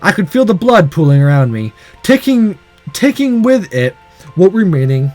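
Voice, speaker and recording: High-voiced, animated man reading aloud. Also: moderate pace, faint music behind. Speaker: let's player reading a visual novel aloud. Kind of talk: dramatic story narration with casual asides.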